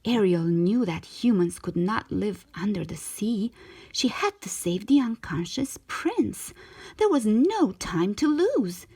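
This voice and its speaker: Female storybook narrator for young children, slow and lively, with a slightly breathy edge. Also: mid-range voice. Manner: expressive, measured storytelling for children. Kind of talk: scripted storybook narration, read aloud.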